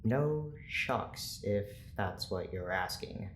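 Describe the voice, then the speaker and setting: A man's voice: fairly low pitch, animated, slow pace, a bit bright, heavily acted. A man playing a stern, weary scientist in an audio drama, speaking in a formal, clipped, exasperated way. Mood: clinical, controlled. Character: stern, weary male scientist running an experiment. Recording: echoey room with faint noise.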